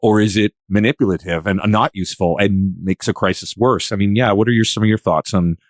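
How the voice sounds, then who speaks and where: Male podcast host, very low register, casual and circling phrasing, dry recording. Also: fairly quick, no echo. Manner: rambling, repeats and rephrases himself.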